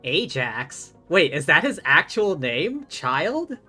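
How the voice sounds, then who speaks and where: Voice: mid-range voice, sing-song, slow pace, bright, forceful tone, breathy edge. A game streamer giving casual live commentary, reading along and reacting to the game. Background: game music.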